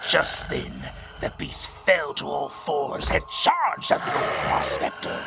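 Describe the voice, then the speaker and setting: Casting: ghoulish storyteller played by a man, macabre and theatrical, gravelly and swooping, music underneath. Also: on the high side, full character voice. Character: ghoulish gravedigger storyteller, sinister, mock-sentimental. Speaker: horror story host and narrator. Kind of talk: scripted horror storytelling. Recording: music behind the voice.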